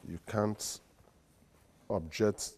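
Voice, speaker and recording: A man with a Nigerian accent, a lawyer and guest on a studio interview, speaking in a formal, deliberate and emphatic way. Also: fairly low pitch, steady tone, a little mellow, husky. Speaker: guest, lawyer and secretary general.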